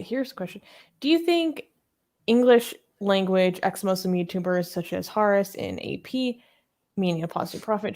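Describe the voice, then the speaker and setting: A woman's voice: medium pitch, darkish tone. A woman co-hosting a casual question-and-answer show, reading out viewer questions and adding short remarks. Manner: reads questions aloud, then chimes in with short remarks. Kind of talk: casual Q&A chat.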